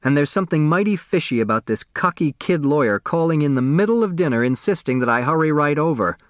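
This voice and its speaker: A man voicing a gruff county sheriff in an audiobook, suspicious, irritated and grumbling. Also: on the high side, lively intonation, quick pace, no echo, studio quality, pitched above the performer's own voice, close to an everyday voice.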